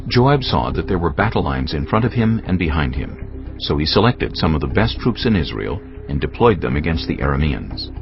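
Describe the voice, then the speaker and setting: A man narrating a dramatized audio Bible with measured, solemn storytelling delivery. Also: extremely low pitch, sing-song, lo-fi sound. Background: background music.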